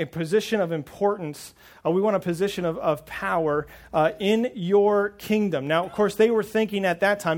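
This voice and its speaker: A man preaching a sermon on a lapel mic to a congregation. He is earnest and often repeats words as he starts a thought. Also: high voice. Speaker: pastor. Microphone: wireless lapel mic pack.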